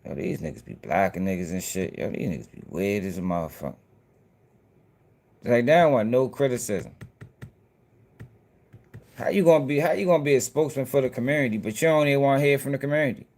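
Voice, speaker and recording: A man's voice: mid-range voice, fast, vocal fry, pauses often, darkish tone. A man hosting casual reaction commentary, talking bluntly and with profanity straight to his viewers. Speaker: commentary channel host.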